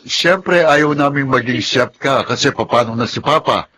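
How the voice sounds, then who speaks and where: An actor playing a kindly, teasing older cousin in a Tagalog radio drama. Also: male voice, mid-range voice, normal speed, bright, forceful tone.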